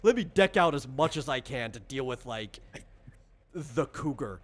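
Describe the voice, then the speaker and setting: A man's voice: mid-range voice, animated, unhurried, a loud crowd. A man in the role of game master, casually explaining tabletop game rules in a rambling, conversational way with lots of asides.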